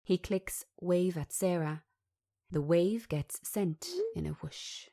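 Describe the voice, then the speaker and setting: A woman's voice: lowish voice, slow, creaky, warm tone. A woman narrating a script, reading out stage directions in short present-tense sentences.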